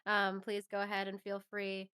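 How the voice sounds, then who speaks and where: A woman's voice: medium pitch, completely flat delivery, moderate pace, sharp tone, clear, resonant tone. A woman speaking as an interview guest in a casual, bubbly and informal way. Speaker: interview guest, voice performer and singer. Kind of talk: casual chat.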